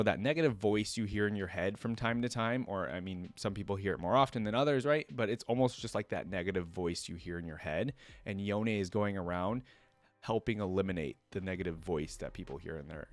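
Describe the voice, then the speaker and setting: A man with a fairly low voice gives casual reaction commentary as the host. He talks informally, working through his thoughts out loud, with music in the background. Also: a little fast, no room sound. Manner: informal, thinking aloud. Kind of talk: casual reaction commentary, some reading aloud.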